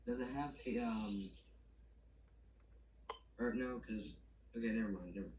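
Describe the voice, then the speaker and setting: A man with a lowish voice chats casually over a call. He speaks tentatively and haltingly, in a roomy sound with faint noise behind him. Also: darkish tone. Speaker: guest on a call. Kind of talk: casual chat. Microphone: online call.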